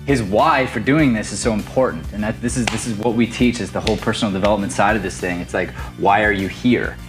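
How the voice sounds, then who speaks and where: Low-pitched man talking over prominent music. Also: quick pace. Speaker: podcast host and acting teacher. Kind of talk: casual podcast talk and motivational storytelling.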